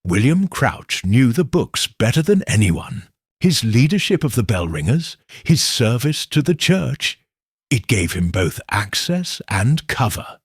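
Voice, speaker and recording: A man voicing a cool, exacting middle-aged detective in an audiobook, speaking in a measured, coldly certain way with a husky voice. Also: medium pitch, big pitch swings, no echo, clean studio sound, exaggerated voice. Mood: explaining. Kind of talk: audiobook character reading.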